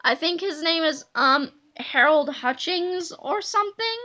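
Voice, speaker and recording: A woman's voice: high voice, expressive, moderate pace, bright, forceful tone. A woman voicing a weary, jumpy man in his twenties for a children's audiobook. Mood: casual.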